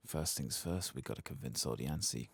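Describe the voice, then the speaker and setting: A man giving soft-spoken ASMR commentary on a video game, in a breathy voice that often drops to a whisper. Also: very deep voice, moderate pace, no room sound.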